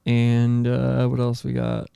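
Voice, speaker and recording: A man's voice: mid-range voice, monotone, slow, vocal fry, mellow, clear, resonant tone, no echo, clean studio sound. A man hosting a casual podcast, chatting and bantering in slangy speech with plenty of "dude". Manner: slangy, says "dude" often. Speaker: podcast host. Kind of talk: casual banter about music with co-hosts.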